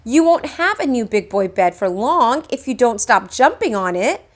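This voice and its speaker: A woman's voice: a little high, very animated, moderate pace, voice pushed up. A woman voicing a harried, coaxing mother of small children in a storybook. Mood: firm, coaxing.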